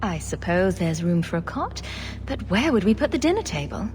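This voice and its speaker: A woman's voice: on the low side, sing-song, moderate pace, a little mellow, soft background music. A woman playing a dry-witted, sceptical adventurer heroine in a video game, with a sarcastic way of talking. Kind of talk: video game character acting.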